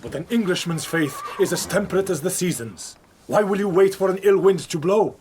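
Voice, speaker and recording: A man's voice: high-pitched, fairly quick, darkish tone, slight background noise, lightly acted. An actor playing a persuasive Moorish embassy man in a period radio drama, earnest, formal and pleading. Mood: pressing. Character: urgent, persuasive Moorish envoy's man, loyal friend.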